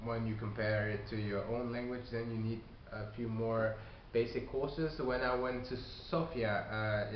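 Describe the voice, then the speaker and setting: A man who is not a native English speaker, giving casual advice on learning a language, talking haltingly with many fillers and restarts. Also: mid-range voice. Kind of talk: casual video response. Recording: echoey room, dull lo-fi sound, faint hiss.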